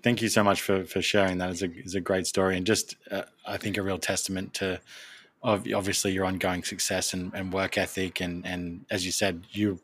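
A man's voice: low-pitched, a bit bright, no echo. A man hosting a podcast, encouraging his guest with short interjections and thanks. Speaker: podcast host. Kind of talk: casual interview chat.

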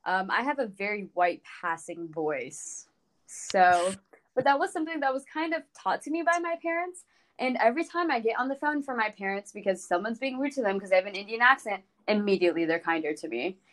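A young woman with a strong American accent talks candidly in a group discussion. Her pitch is average, and she explains things through personal anecdotes in casual speech sprinkled with "like". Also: lively intonation, a little fast. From United States. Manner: informal, explanatory, anecdotal, frequent "like".

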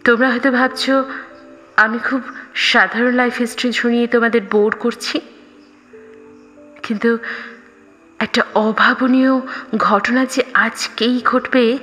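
Woman, audio story narrator, unhurried delivery, expressive, dramatic pauses, reverberant sound over soft music. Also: on the high side. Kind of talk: scripted first-person story narration. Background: background music.

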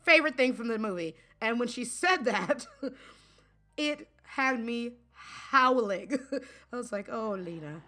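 A woman co-hosting a podcast in casual chat, giggly and animated, often acting out quotes. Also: on the high side, a little slow, soft background music. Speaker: podcast co-host.

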